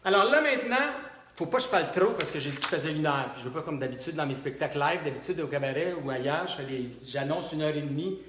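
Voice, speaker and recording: A man's voice: fairly high pitch, lively intonation, fast, noisy background. A middle-aged man with a Quebec French accent, a cabaret drag diva chatting and joking with a talkative, theatrical, colloquial delivery in a live show. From Quebec, Canada. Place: indoors in pianist's home, live online show. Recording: roomy live stream with piano music. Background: piano music.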